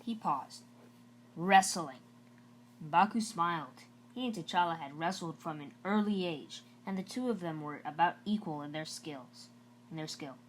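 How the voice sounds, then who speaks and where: A woman with a slightly low voice reads a children's novel aloud as narrator. The recording carries a faint hum and light noise. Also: normal speed.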